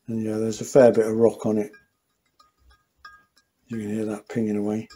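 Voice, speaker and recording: A man's voice: lowish voice, monotone, normal speed, warm tone, soft background music. A man explaining a motorcycle engine rebuild as he works, thinking aloud with fillers and restarts. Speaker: motorcycle mechanic. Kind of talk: hands-on workshop commentary.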